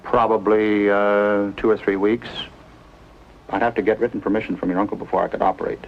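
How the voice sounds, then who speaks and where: Reassuring American physician, played by a man, measured delivery, deep voice, old TV drama with slight hiss. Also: level tone, lightly acted. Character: calm, plain-spoken male doctor, reassuring with young patients. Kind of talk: 1950s television drama acting. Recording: muffled, noisy old 1950s TV soundtrack.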